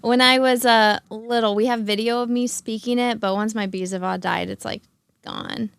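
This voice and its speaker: A woman's voice: a little high, steady tone, normal speed, vocal fry, a bit bright, clean tone, no room sound. A woman with a Californian accent, a guest on a casual panel stream, talking in an easygoing way.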